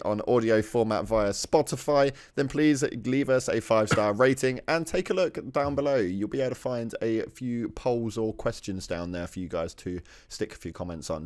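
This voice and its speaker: A man's voice: lowish voice, a little mellow, no echo. A man co-hosting a casual gaming podcast, bantering and laughing easily. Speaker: podcast co-host.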